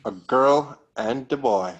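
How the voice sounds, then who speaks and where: A man playing a caring father in a web drama, patient and measured. He takes his time over each line at an ordinary male pitch. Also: breathy edge, muffled recording. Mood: casual, friendly. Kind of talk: dramatic table read of a web series script.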